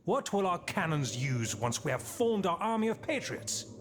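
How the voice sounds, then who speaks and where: A haughty patriot nobleman in a game cutscene. His highish, very animated voice is theatrical and scornful. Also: normal speed. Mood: incredulous, indignant. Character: haughty, hot-headed male marquis, a bellicose patriot. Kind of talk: video game cutscene acting.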